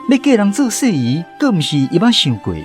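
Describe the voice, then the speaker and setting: A man's voice: sits high, very animated, brisk, faint music behind. A man with a Taiwanese accent narrating a radio drama in a dramatic storytelling style.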